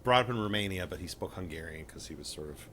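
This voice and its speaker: A man's voice: deep voice, animated, fast talker, a bit bright, a little hiss or hum. A middle-aged man comedian on a casual comedic panel, talking in a rambling, hesitant way with dry wit.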